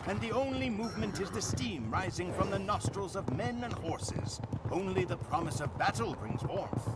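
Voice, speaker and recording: An actor playing a grim Mongol warrior who solemnly recounts his Khan's conquests as dramatic game cutscene narration, with deliberate phrasing. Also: fairly high pitch, very noisy. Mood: grave, proud.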